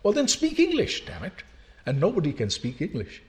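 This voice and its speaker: A man's voice: high voice, huge pitch swings, moderate pace, darkish tone. An older man, a longtime professor from Pakistan, giving an emphatic public lecture full of rhetorical questions. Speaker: university professor.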